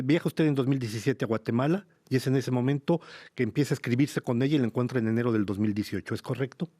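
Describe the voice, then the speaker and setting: Man, radio interview host, measured formal delivery, long framing questions, medium pitch. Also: darkish tone. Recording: radio broadcast studio.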